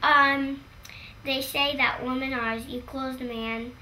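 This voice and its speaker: A young woman explaining her beliefs simply and earnestly in an interview, pausing often. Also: high-pitched, fairly even intonation, pushed and bright, a little hiss or hum, poor audio. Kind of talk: documentary interview.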